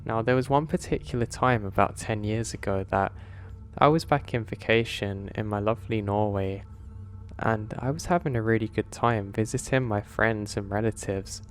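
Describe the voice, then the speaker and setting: A man calmly narrating listener-submitted horror stories in a measured, scripted delivery. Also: on the low side, soft background music. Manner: calm, measured storytelling delivery.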